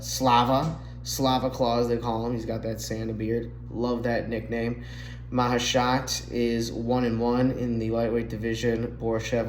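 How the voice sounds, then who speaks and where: A man's voice: fairly low pitch, slight background noise. A man hosting a solo MMA picks show, talking casually and sounding nasal after being sick, recorded on a phone mic. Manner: chatty, fillers, slightly rambling analysis. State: nasal from recent illness. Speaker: MMA podcast host making fight picks. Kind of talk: casual solo fight breakdown. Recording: echoey room on phone mic.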